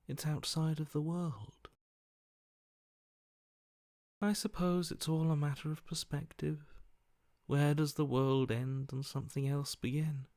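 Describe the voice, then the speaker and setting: A man's voice: mid-range voice, a little mellow, dry recording, clean studio sound, lightly acted. A man voicing a cold, amoral voice inside someone's head in a video game, detached, matter-of-fact and dryly sardonic. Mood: detached, calculating. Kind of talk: video game character acting.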